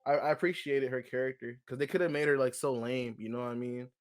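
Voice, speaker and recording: A man co-hosting a podcast, talking casually and conversationally with lots of slang and animated opinions. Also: mid-range voice, fast talker. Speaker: podcast co-host. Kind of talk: casual movie discussion chat.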